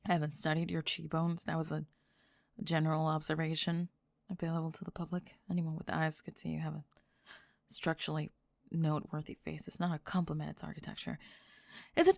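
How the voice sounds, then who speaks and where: A woman's voice: lowish voice, gravelly, no room sound, clean studio sound. A woman playing a flustered young goth girl with a secret crush, rambling and stammering breathlessly in an ASMR roleplay. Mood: defensive, flustered, then panicked rambling. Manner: rambling, stammering, breathless panicked over-explaining.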